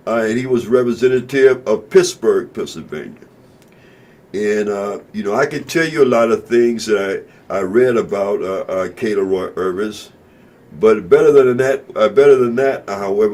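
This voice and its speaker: A man's voice: lowish voice, moderate pace, a little mellow. A man hosting an internet radio show, talking in a rambling, casual way with many fillers and repeated phrases. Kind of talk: casual talk-radio monologue.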